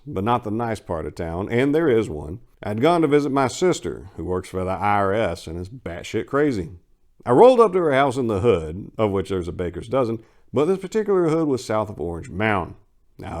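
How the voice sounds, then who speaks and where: A lower-voiced man delivers a dramatic reading with theatrical flair. His pitch swings widely as he leans on words with emphasis and a wry edge, in the manner of a host telling a tale. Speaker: writer and channel host performing dramatic readings. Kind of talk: dramatic first-person story reading.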